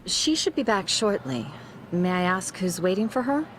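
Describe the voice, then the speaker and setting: An actress playing a polite, helpful school staff member in a video game, with a courteous delivery. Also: female voice, mid-range voice, sing-song, normal speed, a little hiss or hum, close to an everyday voice. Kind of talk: video game cutscene acting, English dub.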